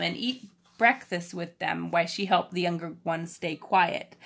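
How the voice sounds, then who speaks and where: A woman reads a story aloud to children in an expressive, informal manner, stopping for casual explanations. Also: fairly low pitch.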